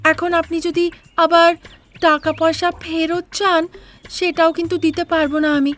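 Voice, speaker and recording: A woman's voice: very high voice, level tone, normal speed, fairly bright tone, faint music behind, pitched above the performer's own voice. A woman voicing an honest, earnest young daughter-in-law in a cartoon tale, plaintive and pleading. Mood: indignant. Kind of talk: cartoon fairy-tale character acting.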